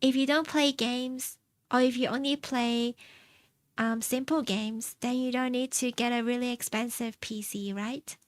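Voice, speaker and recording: A young woman from China chatting casually on stream, answering her chat in a soft, rambling way and laughing often. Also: fairly high pitch, fairly even intonation, unhurried, no room sound. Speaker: VTuber streamer. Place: home streaming setup.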